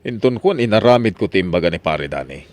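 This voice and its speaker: Low-voiced, exasperated Ilocano farmer, acted by a man. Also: animated, fast talker, a little mellow. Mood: grumbling. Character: exasperated male farmer pleading with stubborn neighbours. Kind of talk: comic radio drama acting.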